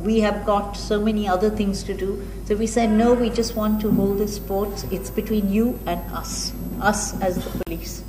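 A woman in her sixties with an Indian accent gives a keynote address at a podium, telling anecdotes in a measured, deliberate way with frequent pauses. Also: medium pitch, steady tone. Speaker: keynote speaker, retired senior police officer. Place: indoor hall at a podium. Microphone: gooseneck podium microphone. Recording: roomy echo, clear background noise.

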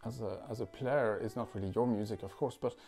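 A man in his forties from France, a musician talking thoughtfully at home, with many pauses, fillers and restarts. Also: on the low side, a little mellow, soft background music. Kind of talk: reflective interview talk. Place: living room at home.

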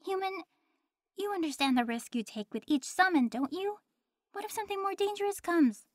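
A woman acts out a prim young female angel in an audio roleplay. Her voice is very high and recorded dry, without room sound. She speaks earnestly, with a hesitant, easily flustered manner. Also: lively intonation, moderate pace, no echo. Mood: concerned.

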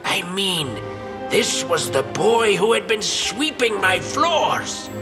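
A man's voice: high voice, sing-song, slow, over music, full character voice. A man voicing an eccentric, theatrical old sorcerer in a fantasy video game. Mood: bemused.

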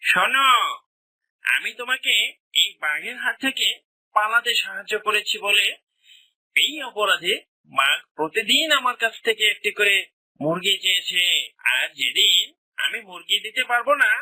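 A sly cartoon fox, acted out by a voice performer, speaking in a piercingly bright, forceful voice. It is anxious and pleading, exclaiming its words emphatically. The sound is dry and close, though a little blurred. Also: mid-range voice, lively intonation, normal speed, long pauses, no echo, rough recording. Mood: worried. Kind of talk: children's cartoon voice acting.

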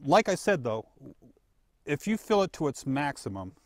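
A man's voice: fairly high pitch, very animated, moderate pace, no room sound. A middle-aged man as a guest in a live chat, talking in a folksy, plain-spoken way from an open tent at an outdoor range. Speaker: guest product expert. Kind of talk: casual live product chat.

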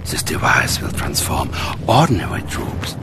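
An actor playing a megalomaniac psychic villain in a game, with a breathy, gravelly, ominous half-whisper. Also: male voice, measured pace, background noise, close to an everyday voice. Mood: threatening. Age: middle-aged. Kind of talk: video game villain acting. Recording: loud music behind the voice.

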